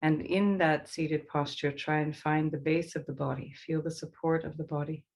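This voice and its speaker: A woman leading a guided meditation as a teacher, giving slow, soothing instructions with pauses. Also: low voice, steady tone, vocal fry, a little mellow.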